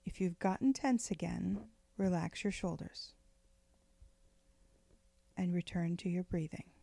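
A woman leading a guided meditation in a gentle, unhurried, soothing way, with phrases often trailing off into breath. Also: lowish voice, creaky, no echo. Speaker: meditation guide, spiritual director.

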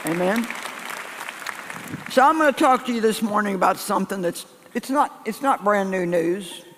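An older man preaches to a packed church in a high, light voice, folksy and conversational. He restarts phrases and circles back to hammer a point home. His voice rings out across a long-reverb sanctuary. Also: expressive, normal speed, a crowd in the background, background noise. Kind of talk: sermon to a live congregation. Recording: echoey church hall.

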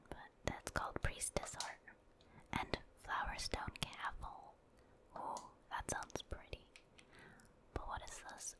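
A woman whispers throughout, in a soft, breathy hush with no full voice. She is a gaming streamer giving ASMR playthrough commentary, musing aloud in an unhurried, informal way.

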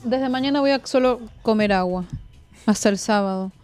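A woman's voice: fairly high pitch, moderate pace, music in the background. A young woman with a Peruvian accent chatting casually as a radio host at a studio mic. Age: young adult. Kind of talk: casual chat. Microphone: studio mic on a desk arm.

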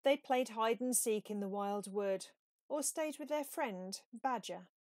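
A woman reading a children's storybook aloud in a measured, expressive way. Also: fairly high pitch, no room sound, studio quality. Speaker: storybook reader. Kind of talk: scripted children's story narration.

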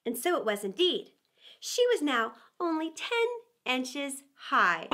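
A woman reads a storybook to children in a high, very melodic voice. Her delivery is theatrical, leaning on key words and pausing for drama, and her pitch moves widely from phrase to phrase. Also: fairly bright tone. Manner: theatrical, with dramatic pauses and emphasis. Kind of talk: expressive scripted storybook read-aloud for children.

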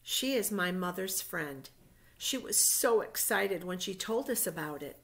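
A woman's voice: on the low side, lively intonation, unhurried. A woman reading a joyful grown woman. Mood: wondering, joyful.

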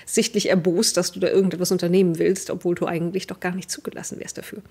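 A young adult woman with a slightly husky voice, narrating a tabletop role-playing game as game master in vivid descriptive detail. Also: on the low side, level tone, quick pace, darkish tone. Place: indoor home streaming setup. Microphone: headset.

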